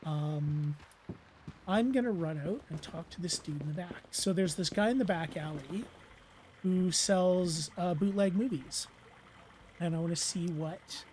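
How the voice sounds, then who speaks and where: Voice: mid-range voice, a little slow, darkish tone, a little hiss or hum, low-quality recording. A let's play host giving casual gameplay commentary, rambling with lots of fillers and self-deprecating asides, voice weakened and strained from losing it.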